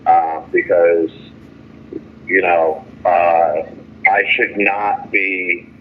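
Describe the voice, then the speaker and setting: An indignant man speaking off the cuff over the phone, halting and repeating himself as he airs grievances in an emphatic way. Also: deep voice, little pitch movement. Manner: halting, repetitive, with stumbling restarts; indignant, emphatic storytelling with quoted dialogue. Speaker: detainee calling in from jail. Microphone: telephone. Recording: tinny, noisy phone line.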